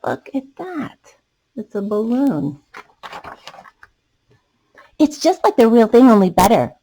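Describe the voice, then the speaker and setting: Storybook kid at the zoo, gushing and wide-eyed, high and swooping , performed by a woman. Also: big pitch swings, slow pace, dark tone, full character voice. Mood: delighted.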